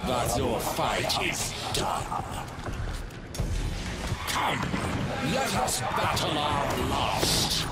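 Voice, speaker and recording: An actor voicing a menacing, arrogant ancient machine warlord in a video game, making grandiose threats. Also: male voice, medium pitch, wildly swooping pitch, extremely slow, pauses often, heavily acted. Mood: scornful. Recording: game audio with loud music, distant.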